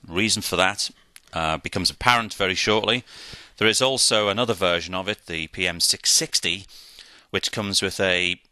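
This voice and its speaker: Man, low pitch, very bright forceful tone, dry close sound, methodical instructional walkthrough. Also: moderate pace, dry recording. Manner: methodical, step-by-step explaining. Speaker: product demonstrator. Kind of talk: hands-on instructional demonstration.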